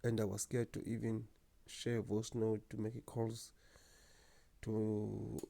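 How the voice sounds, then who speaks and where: Young guy with a stutter, low mellow voice, dry close sound, talking earnest and slow to camera. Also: completely flat delivery, creaky, no room sound. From South Africa. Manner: stutters, with repeated words and blocks. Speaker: channel host. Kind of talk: personal vlog talk to camera.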